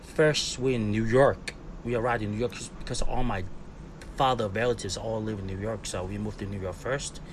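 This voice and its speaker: A man's voice: fairly low pitch, fairly even intonation, moderate pace. A man in his forties from Hong Kong, a skateboarder being interviewed, telling stories casually in English, laughing often and acting out quotes. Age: 40s. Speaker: guest, skateboarder. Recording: clear background noise.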